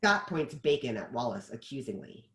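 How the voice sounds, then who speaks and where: A man's voice: medium pitch, very animated, moderate pace, fairly bright tone. A man narrating scripted stage directions as the narrator of a table read.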